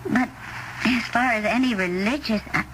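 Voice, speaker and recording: An actress plays a gently skeptical woman in an old radio suspense drama. Her voice is fairly high and halting, choosing words with tact, over a noisy recording. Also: natural voice. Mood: hesitant, doubtful. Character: doubtful, tactful woman close to the writer.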